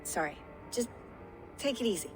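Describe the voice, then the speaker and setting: An actress playing a practical, caring technician in a video game, brisk, informal and matter-of-fact. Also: female voice, fairly high pitch, lively intonation, soft background music, close to an everyday voice. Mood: cautious, concerned. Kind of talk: naturalistic video game acting. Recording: faint background noise and ambience.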